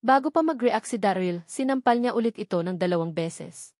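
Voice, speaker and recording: A woman's voice: mid-range voice, expressive, brisk, darkish tone, no room sound, clean studio sound. A woman reading a novel aloud as scripted audiobook narration.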